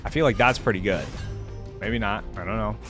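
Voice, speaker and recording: A man's voice: fairly low pitch, a little fast. A man giving casual, informal reaction commentary as a video host, musing and thinking aloud as he goes. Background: game music.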